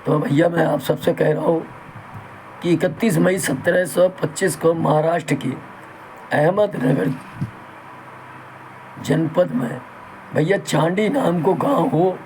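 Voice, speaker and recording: A man with a sore throat, hosting his own channel and talking in a slow, halting, folksy and reverent way. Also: fairly high pitch, a little mellow, a little hiss or hum, poor audio. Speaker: channel host. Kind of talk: talk in Hindi with Bundeli dialect.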